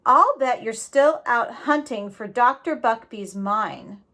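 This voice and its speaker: A woman's voice: on the high side, lively intonation, slow pace, sharp tone, exaggerated voice. A woman voicing a frightened, polite young boy in a storybook reading. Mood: scared. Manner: polite, calls everyone sir.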